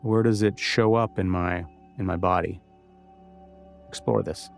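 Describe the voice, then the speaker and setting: A man leading a guided meditation, giving gentle, conversational instructions with pauses. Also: low voice, fairly even intonation, creaky, faint music behind.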